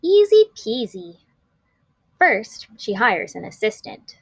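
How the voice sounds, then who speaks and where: High, animated woman reading a storybook aloud. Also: vocal fry, husky, no echo. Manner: expressive, deliberate storytelling with emphasized action words. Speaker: read-aloud teacher. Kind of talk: scripted picture-book read-aloud for children.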